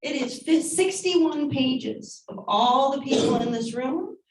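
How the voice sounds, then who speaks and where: A woman with a fairly high voice walks the room through steps one by one. She is far from the mic in an echoey room with faint noise. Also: lively intonation, takes it slowly. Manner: explaining step by step.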